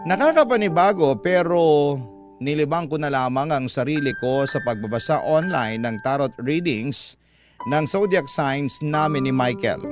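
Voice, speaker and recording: A man's voice: medium pitch, natural voice. Male reader as lovelorn storyteller over music. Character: lovelorn young person confiding hopefully to radio host. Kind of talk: first-person scripted radio narration.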